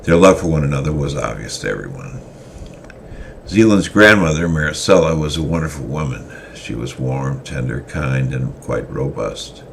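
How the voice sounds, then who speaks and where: Very deep, gravelly male storyteller. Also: slight background noise. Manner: unhurried reading with frequent hesitations. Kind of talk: semi-dramatic story reading.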